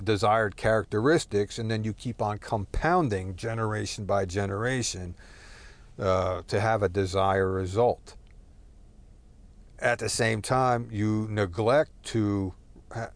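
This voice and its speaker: A man's voice: fairly low pitch, no room sound. A man from Texas talking in a rambling, profane monologue full of fillers and digressions. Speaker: solo talker.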